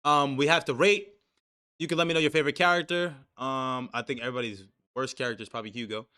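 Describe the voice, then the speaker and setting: A man's voice: medium pitch, steady tone, fast, bright, forceful tone, clear, resonant tone. A young man co-hosting a casual anime discussion stream, profane and loose, reading chat messages aloud and restarting his sentences. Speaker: stream co-host.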